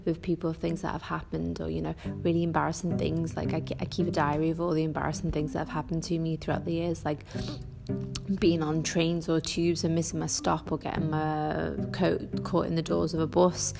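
A woman with a Scouse accent hosting a casual solo podcast, rambling and chatty with many ums and quick self-corrections. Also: low-pitched, level tone, gravelly, over music. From Northwest England. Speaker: podcast host.

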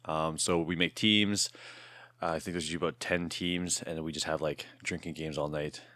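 A man in his thirties hosting a podcast in a casual chat, his voice raspy and hoarse from shouting, rambling with fillers and laughs. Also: extremely low pitch, steady tone, moderate pace, dry recording. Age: 30s. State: hoarse from shouting the night before. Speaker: podcast host.

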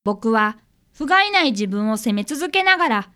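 A woman's voice: fairly high pitch, very animated, moderate pace, bright, forceful tone. A woman playing an earnest, polite fifth-grade boy apprentice detective in a radio drama, given to blaming himself. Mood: narrating. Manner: polite, earnest, deferential speech. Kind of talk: radio drama acting with narration.

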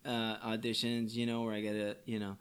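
A man with a Chicago accent, high and chatting casually as a podcast guest, swearing and filling with "you know.". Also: monotone, fairly quick, fairly bright tone, clean tone. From Chicago area, USA. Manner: laid-back, swears a lot, many "you know" fillers. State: stoned.

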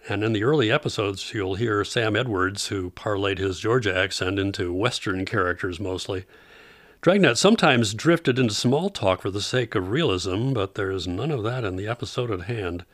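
Male radio program host: low, measured, scripted introductions, very dry acoustic. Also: fairly bright tone, no room sound.

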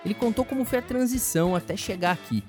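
A man's voice: high voice, fast, a little mellow, faint music behind. A Brazilian man in his thirties hosting a podcast and putting questions to a guest in a casual interview style.

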